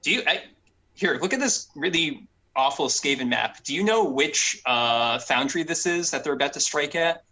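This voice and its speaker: Man playing a brisk, sharp-voiced adventurer. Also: fairly high pitch, expressive. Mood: urgent, rallying. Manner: urgent, repeating short commands. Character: brisk, bossy, suspicious adventurer giving orders to guards.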